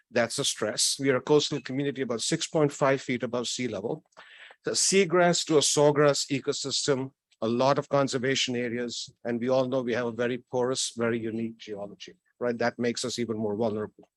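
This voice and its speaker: A man's voice: medium pitch, no room sound. A man from Tanzania giving a conversational conference talk, with light jokes and frequent restarts. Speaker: conference speaker. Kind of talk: conference presentation. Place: conference hall.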